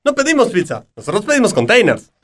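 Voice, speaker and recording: A woman's voice: medium pitch, wildly swooping pitch, fast. A woman playing a naive, whiny young male sidekick in a parody radio drama. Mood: curious, dim.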